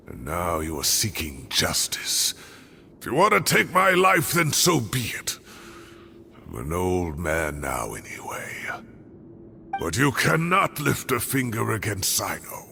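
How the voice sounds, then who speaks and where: A man's voice: low-pitched, wildly swooping pitch, unhurried, pushed and bright, slight background noise, heavily acted. An actor playing an aging male professor in a video game, earnest and pleading as he defends someone he raised. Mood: defiant. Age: older. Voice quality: gravelly. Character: aging male scholar, protective and defiant.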